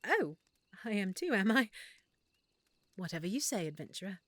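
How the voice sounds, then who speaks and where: A woman voicing a shy, flustered half-elf shopkeeper who stammers softly and nervously. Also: mid-range voice, sing-song, normal speed, no room sound. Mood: shy, tender. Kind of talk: audio roleplay acting.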